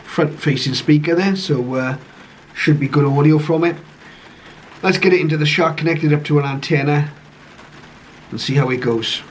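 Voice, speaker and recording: A man giving a casual, low-key first-look commentary on a piece of equipment, speaking hesitantly and without hurry. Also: moderate pace. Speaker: radio hobbyist reviewer. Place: conservatory, later a home radio room. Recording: clearly noisy home recording.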